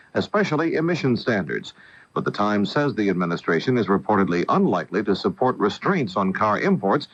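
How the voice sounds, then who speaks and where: An American man delivers a scripted network newscast as anchor. His voice is fairly low and recorded close and dry, with the dull sound of an old AM radio tape. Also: no room sound.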